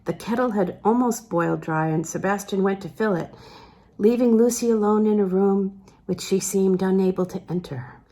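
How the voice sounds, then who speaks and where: A woman reading literary fiction aloud as an audiobook narrator, measured and expressive, with deliberate pauses. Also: mid-range voice. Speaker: audiobook reader.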